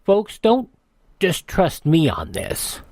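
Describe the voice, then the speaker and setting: A man's voice: a little high, huge pitch swings, takes it slowly, darkish tone, dry recording, clean studio sound. A man hosting on radio in a conversational, folksy way. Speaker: radio host. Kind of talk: folksy host-read radio promotion.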